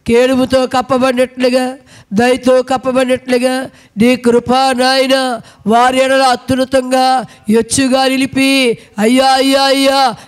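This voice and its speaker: A man leading a spoken prayer with a repetitive, pleading cadence, heard from farther off at times. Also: very high-pitched. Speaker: prayer leader.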